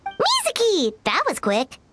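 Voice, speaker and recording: A woman voicing a small, chirpy, childlike female fairy companion with a squeaky voice in a video game. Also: huge pitch swings, measured pace, over music, full character voice. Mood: curious, excited. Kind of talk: video game character dialogue.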